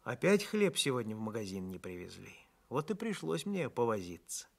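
A man's voice: mid-range voice, big pitch swings, moderate pace, creaky, darkish tone. A man reading the part of a hospitable, proud older village woman who bakes, with a folksy, homely way of talking. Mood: chatty.

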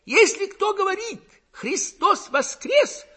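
A man's voice: very high voice, lively intonation, takes it slowly, pushed and bright, voice pushed up, heavily acted. A man voicing a pompous, ignorant village lecturer in an audiobook, with a gurgling, throaty voice and affected, self-assured oratory. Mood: smug, gushing. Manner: affected, gushing, self-assured oratory in clumsy learned words. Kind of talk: audiobook character acting.

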